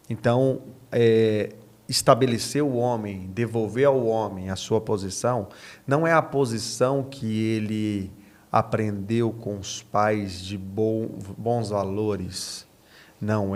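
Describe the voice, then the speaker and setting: A man's voice: fairly low pitch, a little mellow. A Brazilian man, a pastor, talking as an interview guest in a didactic, preaching way, repeating key phrases for emphasis.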